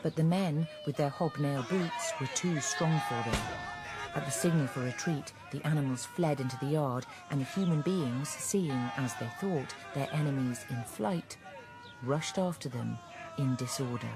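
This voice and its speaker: Man as radio play narrator, mid pitch, measured storytelling, music underneath. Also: lightly acted. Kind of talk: scripted dramatic narration.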